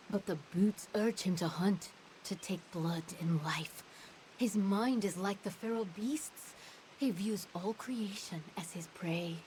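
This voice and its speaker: A woman voicing a solemn, mournful fey herald in a fantasy game, speaking in a grave, measured, formal way. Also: mid-range voice, darkish tone, a little hiss or hum, natural voice, poor audio.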